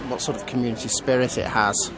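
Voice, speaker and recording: A man gives an unscripted on-location news report, speaking haltingly and repeating words as he goes. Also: mid-range voice, fairly even intonation, over music. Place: outdoors in a busy city square. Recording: outdoor city crowd and traffic noise. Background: crowd and traffic.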